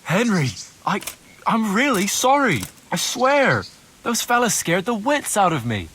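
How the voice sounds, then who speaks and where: A man's voice: high-pitched, very animated, a little slow, fairly bright tone, slight background noise, exaggerated voice. A man playing a cowardly, roguish young turncoat in a video game cutscene, whining, wheedling and foul-mouthed. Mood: pleading, scared. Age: young adult.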